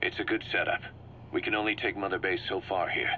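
A man's voice: low voice, little pitch movement, quick pace. A man playing a driven, shrewd mercenary commander in a video game, briefing his boss in an emphatic, assured way. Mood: assured, persuasive. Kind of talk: scripted video game dialogue, explaining plans. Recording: muffled cassette-like sound with background hum.

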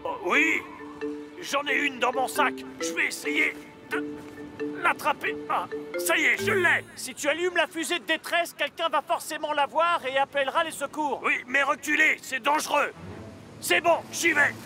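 A flustered, fussy cartoon dad. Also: male voice, extremely high pitch, normal speed. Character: clumsy cheerful father, easily flustered. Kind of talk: French cartoon dub. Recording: studio voice with cartoon music underneath.

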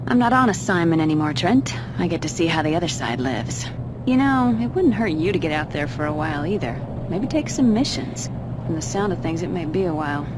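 Lowish-voiced confident woman agent. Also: lively intonation, a little fast. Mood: restless, encouraging. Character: confident, independent female agent, worldly and wry. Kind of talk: video game cutscene acting. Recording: clear ambient noise behind.

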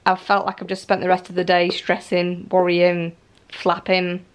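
A woman's voice: on the low side, completely flat delivery, normal speed, background noise. A young woman in her twenties, talking to camera at home in a casual, rambling vlog, full of likes and ums. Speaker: vlogger. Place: living room at home, indoors.

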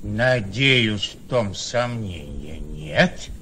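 An actor playing a loyal household butler in a radio comedy, with a husky voice and a drawn-out, deferential manner. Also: male voice, on the low side, animated, sharp tone, a little hiss or hum, exaggerated voice. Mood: servile, curious.

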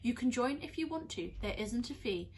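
A woman voicing an earnest, excitable six-year-old boy in a children's storybook, with emphatic delivery. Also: fairly high pitch, normal speed, breathy edge. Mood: helpful. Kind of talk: children's storybook character reading.

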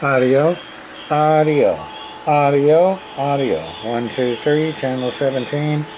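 A man's voice: mid-range voice, takes it slowly. A man casually narrating a hands-on radio repair demonstration in terse, offhand, folksy fragments. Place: indoor home workshop bench. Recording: noisy room with radio static. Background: CB radio noise.

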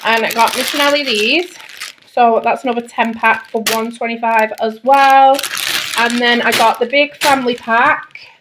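A woman's voice: a little high, fairly even intonation, unhurried. A British woman chatting casually and informally as a family vlogger, often repeating words for emphasis, recorded indoors at home. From Britain. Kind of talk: casual grocery haul chat to camera. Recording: clear background noise, packaging crinkling. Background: crinkling packets, clicks, handling noise.

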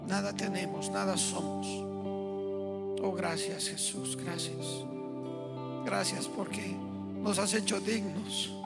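Loud, gentle guitar music surrounds a man's live prayer. He speaks very slowly and in a high voice for a man, reverently offering short phrases with pauses between them, as a worship leader does before a congregation. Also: fairly even intonation, gravelly. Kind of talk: slow heartfelt public prayer. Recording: live church mic over guitar music.

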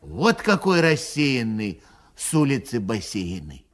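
A man reading a children's rhyming poem aloud in a sing-song, lively way. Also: mid-range voice, slow pace. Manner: lively, sing-song delivery with a recurring refrain. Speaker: narrator.

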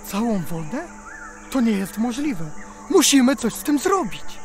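A man's voice: very high voice, very animated, a little slow, soft background music, full character voice. A man voicing a boastful, brave male hare in a children's audio drama, proud and swaggering. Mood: determined.

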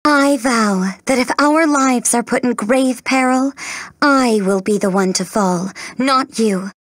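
A woman playing a gentle, romantic young bride princess in a video game, with an earnest, dreamy manner. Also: on the high side, slow, dry recording. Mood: solemn. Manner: earnest, sweet, wistful. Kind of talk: video game character acting.

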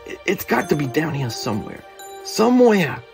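A man's voice: a little high, big pitch swings, moderate pace, gravelly, soft background music, pitched below the performer's own voice. A man doing a desperate street-tough fugitive. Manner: tough street slang, frantic. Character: desperate young male fugitive on the run.

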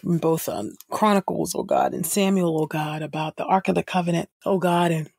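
Female devotional host, slightly low and breathy-rough voice, fervent and heartfelt prayer delivery. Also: sing-song, normal speed, a little mellow, dry recording. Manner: earnest, fervent, with repeated invocations. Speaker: podcast host. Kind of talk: spontaneous spoken prayer, devotional.

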